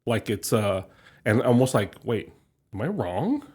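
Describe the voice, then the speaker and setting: Husky, halting podcast co-host man. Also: mid-range voice, very animated, a little mellow. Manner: hesitant, halting, with frequent fillers. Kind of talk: casual chat.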